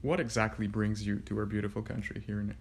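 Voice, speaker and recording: A man hosting a podcast interview, speaking in a halting, formal way. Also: fairly low pitch, little pitch movement, creaky, slightly rough. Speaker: podcast host.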